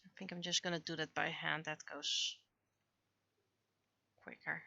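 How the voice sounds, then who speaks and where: A woman's voice: low-pitched, flat delivery, unhurried, creaky, sharp tone, lo-fi sound. A woman livestreamer chatting casually while she draws, often muttering to herself as she works. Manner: mutters to self while working. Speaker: livestreamer and webcomic artist.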